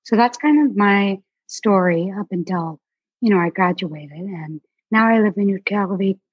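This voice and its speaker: A young woman from Alaska, an artist chatting as a guest in a relaxed, rambling way with many fillers. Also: medium pitch, moderate pace, husky, dry recording, muffled recording. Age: young adult. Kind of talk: casual interview chat.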